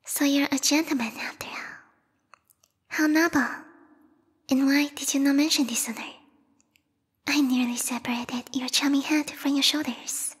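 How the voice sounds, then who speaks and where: A woman voicing a formal, haughty bat girl who serves a vampire queen, speaking in a breathy, whispery ASMR roleplay style close to the microphone, politely menacing. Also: sits high, fairly even intonation, moderate pace, pauses often, big-room sound, natural voice. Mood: pleased, charmed. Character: yandere bat monster girl, devoted servant of vampire queen. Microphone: close binaural microphone.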